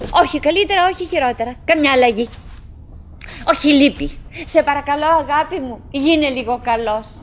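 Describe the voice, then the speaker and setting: An actress playing a chattering, wistful middle-aged wife who is stubbornly cheerful, musing aloud in fragments and exclamations in a theatrical radio play. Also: female voice, high voice. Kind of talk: theatrical radio drama monologue. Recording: noisy old radio drama recording.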